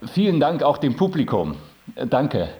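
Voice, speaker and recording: A man's voice: on the high side, very animated, unhurried, lo-fi sound, a little hiss or hum. An actor playing a polite male moderator in a radio play, addressing an event in a formal, courteous way.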